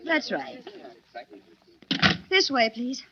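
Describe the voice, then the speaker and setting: A woman's voice: on the high side, huge pitch swings, very slow, a bit bright, a little hiss or hum, exaggerated voice. An actress playing a rich man's poised, attentive assistant with a polite, breezy hostess manner in 1940s radio style. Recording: dull vintage 1940s radio recording.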